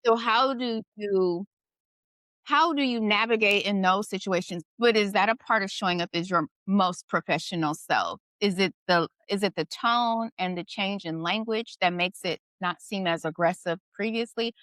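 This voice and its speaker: A woman's voice: medium pitch, moderate pace, a bit bright, no echo. A middle-aged woman hosting a casual conversation, thoughtful and questioning, often adding "you know" and "right". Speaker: podcast host.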